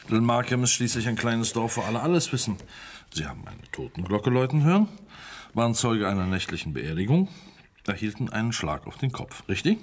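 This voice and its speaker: An actor playing an unruffled village police inspector in a German radio crime drama, polite and dryly sceptical. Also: male voice, on the low side, sing-song, a little fast.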